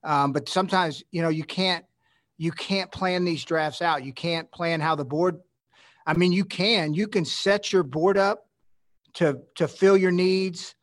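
A man's voice: sits high, a little slow. A middle-aged man, a team executive, answering at a press conference in a rambling, conversational way with frequent restarts.

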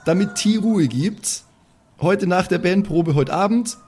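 Man as a brash, animated young woman. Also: high-pitched, a little slow, a little hiss or hum. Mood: cocky. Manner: casual, swaggering, colloquial. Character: brash, cocky young woman, hard-drinking band member.

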